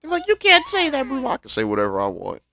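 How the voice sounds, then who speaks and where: A man's voice: very high-pitched, huge pitch swings, quick pace, creaky, sharp tone, no echo. Casual American man streaming, close and dry. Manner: slangy, joking, talking back to characters. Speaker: game streamer. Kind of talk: casual live gameplay commentary. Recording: music under the voice.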